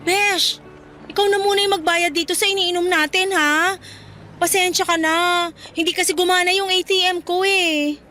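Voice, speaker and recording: Radio drama casting: hard-headed young female moocher, sharp and very high-voiced, sarcastic, brash. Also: fairly even intonation, moderate pace, faint music behind. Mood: casual. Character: hard-headed young woman, unrepentant moocher dumping her boyfriend.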